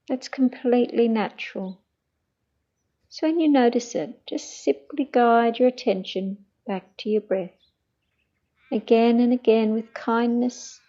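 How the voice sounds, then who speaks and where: A middle-aged woman leading a guided meditation in a gentle, soothing, hushed manner that turns breathy at times. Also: on the high side, takes it slowly, pauses often, dull audio.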